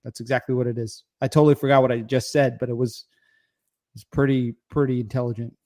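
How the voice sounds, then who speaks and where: A man's voice: medium pitch, fairly even intonation, fast talker, dark tone. A man with a mid-range voice co-hosts a podcast. He speaks bluntly and crudely, and keeps his tone provocative. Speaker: podcast co-host. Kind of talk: casual political banter.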